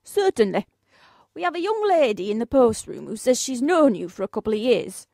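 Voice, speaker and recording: A woman's voice: on the high side, sing-song, fairly quick, gravelly, dark tone, dry recording, clean studio sound, exaggerated voice. A woman playing a polite, breezily demanding office boss in a radio play, speaking in a formal, officious way. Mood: politely matter-of-fact.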